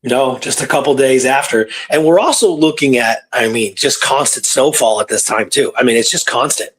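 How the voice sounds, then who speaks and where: A man hosting a talk show, retelling a true story in a casual, animated way with repeated phrases and pauses for drama. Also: mid-range voice, husky. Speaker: podcast host telling a true historical story.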